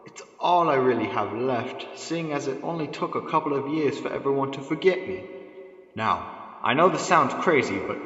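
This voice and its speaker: A man's voice: medium pitch, lively intonation, normal speed, a bit bright, natural voice. A man playing an old, forgotten Santa Claus in a radio drama, theatrical and earnest. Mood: wistful, earnest. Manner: theatrical, declaiming. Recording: echoey hall, dull muffled sound.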